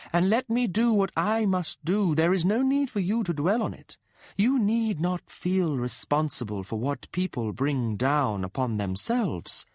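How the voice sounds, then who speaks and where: High-pitched possessive dead woman, put on by a man, slow hushed menace, dry close sound. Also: no echo, heavily acted. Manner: soothing yet ruthless, slow and deliberate. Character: possessive, coldly loving dead woman spirit.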